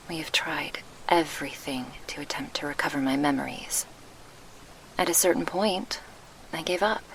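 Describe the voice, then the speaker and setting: A gentle, grieving succubus guardian, acted by a woman in an ASMR roleplay. The voice is fairly low and soft, with a gravelly creak under measured, old-fashioned diction. It sits close on a binaural mic over faint background noise. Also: low-quality recording. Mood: pained, hesitant. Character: gentle, wistful female succubus guardian, grieving her lost past. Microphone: close binaural microphone.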